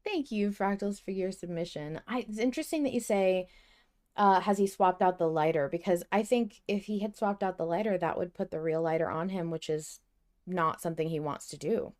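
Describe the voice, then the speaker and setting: A woman with a fairly low voice chats as a podcast co-host. She is animated and emphatic, working through fan theories out loud in a loose, conversational way. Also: a little fast. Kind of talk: casual fan theorizing discussion.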